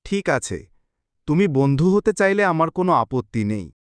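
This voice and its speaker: A young man voicing a curious young city man in a cartoon who wanders and marvels out loud. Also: a little high, big pitch swings, normal speed, clear, resonant tone, no echo.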